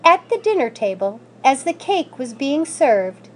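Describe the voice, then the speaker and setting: A woman reading a children's story aloud in an expressive, scripted narrating style. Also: high voice, a little slow. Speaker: school staff member reading aloud.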